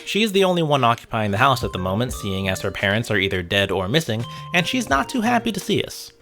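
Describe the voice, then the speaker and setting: A man's voice: medium pitch, big pitch swings, fast talker, gravelly, pushed and bright. A man narrating a scripted video essay about games in a wry, conversational way, with asides to the viewer. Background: music.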